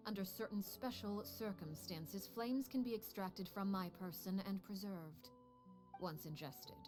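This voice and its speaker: A woman voicing a cold, commanding head of a household called Father, speaking in a calm, measured way with hushed, grave whispers in a game cutscene. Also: fairly low pitch, lightly acted. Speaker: stern head of an orphan household. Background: game music score.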